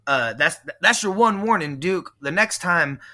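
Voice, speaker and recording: A man's voice: high voice, lively intonation, a little slow, pushed and bright. A man from Texas, a pro wrestler guest, talking in a boastful, menacing trash-talk promo style. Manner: boastful, menacing, heel persona.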